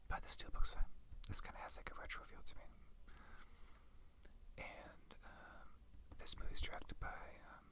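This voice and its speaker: An ASMR host from Canada whispering a casual, rambling review in a hesitant, musing, unhurried manner.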